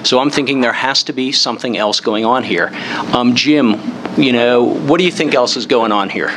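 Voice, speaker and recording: A man's voice: medium pitch, normal speed. Man moderator, conversational, curious, faint room noise. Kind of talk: panel moderation.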